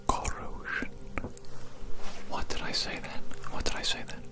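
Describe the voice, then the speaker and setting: An ASMR creator whispering slowly into a close microphone, running a calm hearing-test roleplay with gentle, repetitive praise. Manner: soft whispering, slow and repetitive, gently encouraging. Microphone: close microphone with headphone-targeted sound.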